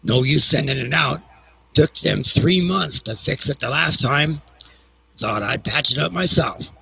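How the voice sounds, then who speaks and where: Resigned old office clerk acted by a man, gloomy audio-play grumbler, creaky and bright-edged, with noise behind. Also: mid-range voice, expressive, measured pace, heavily acted. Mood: grumbling, resigned. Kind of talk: dramatized audiobook acting. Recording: noisy recording with background ambience.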